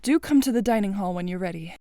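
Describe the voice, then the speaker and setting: A woman's voice: mid-range voice, animated, fast talker, mellow, no echo, studio quality, close to an everyday voice. A woman playing a composed, polite young organizer in a fan audio drama, speaking in a businesslike way. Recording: music under the voice.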